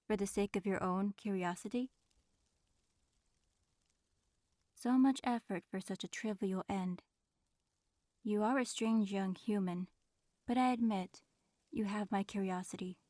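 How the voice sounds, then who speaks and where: An ancient dragoness in human form , voiced by a woman, speaks for an audio roleplay. Her voice sits at a medium female pitch, recorded close and dry. She is regal and aloof, choosing formal, measured words with a guarded reserve. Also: warm tone, no echo, natural voice. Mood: guarded, curious. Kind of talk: audio roleplay, in-character monologue addressed to listener.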